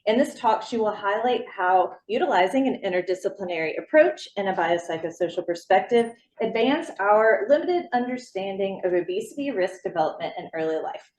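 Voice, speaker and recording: A woman hosting an event, reading a formal introduction of the speaker in a measured way in a lecture room. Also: mid-range voice, expressive, darkish tone, rough recording. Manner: measured, reads from a prepared bio.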